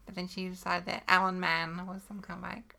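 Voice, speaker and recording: A woman's voice: lowish voice, little pitch movement, a little fast, creaky, sharp tone. A woman with a New Zealand accent co-hosting a podcast in casual, dry chat, swearing now and then. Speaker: podcast co-host.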